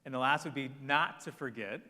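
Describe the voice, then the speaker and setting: Bright-voiced man advising a conference panel. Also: medium pitch. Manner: brisk, confident, salesy advice. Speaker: panel speaker. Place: conference hall. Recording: long hall reverb.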